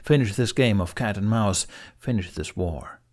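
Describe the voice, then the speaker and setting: A man's voice: fairly low pitch, animated, moderate pace, darkish tone. A man reading a fantasy story aloud as dramatic scripted audiobook narration.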